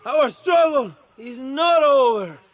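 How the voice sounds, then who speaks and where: A man's voice: very high-pitched, lively intonation, slow, full character voice. A male actor playing a fiery Mexican revolutionary leader in a video game, declaiming with theatrical grandeur in a Mexican accent. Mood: rousing, fervent. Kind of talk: rousing political speech in a game. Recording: loud music and crowd behind.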